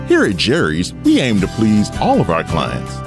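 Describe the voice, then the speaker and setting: A man playing a slick, cheery salesman in an exaggerated parody radio commercial pitch. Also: huge pitch swings, moderate pace. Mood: salesy. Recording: voice over loud music.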